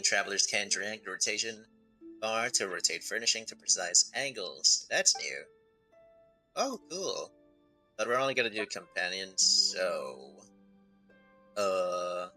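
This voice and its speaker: A male game streamer with a fairly low but very bright, punchy voice. He commentates casually, thinking aloud and reading from the screen, with music behind him. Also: animated, slow, long pauses. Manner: thinks aloud, reads on-screen text, asks himself questions.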